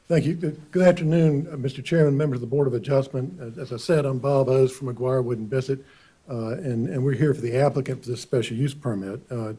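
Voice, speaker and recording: A man presenting for an applicant before a county board, hoarse from a cold. His voice is mellow and husky, and he hesitates often, doubling his words. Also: mid-range voice. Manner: halting, many repeated words and uhs. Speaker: attorney for applicant. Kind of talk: formal hearing presentation. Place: county board meeting room.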